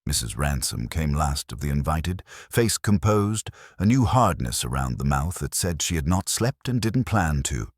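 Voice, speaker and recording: Male narrator, very low register, lively intonation, dry close sound. Wry detective fiction read. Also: moderate pace, few pauses, husky, no echo. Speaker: audiobook narrator. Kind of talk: scripted first-person detective story narration.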